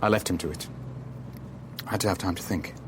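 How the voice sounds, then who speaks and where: An actor playing a cool-headed ship owner who bluffs and narrates his own thriller in a measured, controlled way for a radio drama. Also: sits low, noisy background, natural voice. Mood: tense narration. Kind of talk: radio drama acting and first-person narration.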